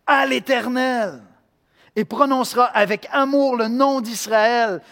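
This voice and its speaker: A man from Quebec with a Québécois accent, preaching a sermon in an animated way, acting out voices and swinging between loud and hushed. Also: very high-pitched, slow, gravelly, long reverb tail. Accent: Québécois French. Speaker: pastor.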